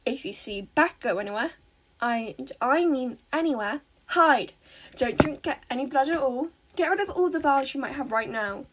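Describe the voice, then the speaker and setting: Woman acting a wary, high-voiced teenage heroine. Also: animated, normal speed, a little hiss or hum. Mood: stern, wary. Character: wary, exasperated teenage girl hero, bossy and guarded. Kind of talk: fan fiction read aloud.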